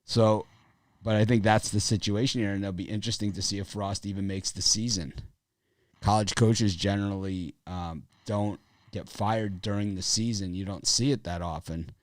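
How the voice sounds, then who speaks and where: A man with a raspy voice hosting a sports betting show, talking casually in a rambling, opinionated way with lots of fillers. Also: lowish voice, steady tone, moderate pace, dry recording.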